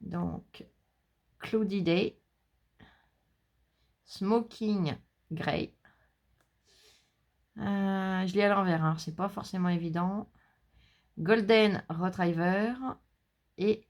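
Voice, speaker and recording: A woman's voice: mid-range voice, fairly even intonation, very slow, pauses often, darkish tone. Chatty woman showing off new craft finds. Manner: chatty, reads out color names and numbers, jokes about herself. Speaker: scrapbooking vlogger. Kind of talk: casual haul video, showing products. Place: indoors, shutters closed against the heat.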